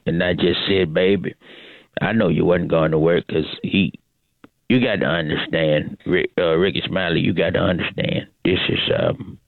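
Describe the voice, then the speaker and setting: A man's voice: sits low, steady tone, normal speed, gravelly, dry recording, narrow, tinny audio. A man voicing a cantankerous old great-grandmother on the phone, rambling, repeating herself and cussing. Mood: mournful. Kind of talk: prank phone call comedy bit.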